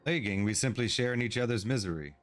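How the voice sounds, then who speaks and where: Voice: mid-range voice, animated, fast, close to an everyday voice. An actor voicing a lonely, possessive fae creature in a game cutscene, wistful in tone, over faint game music.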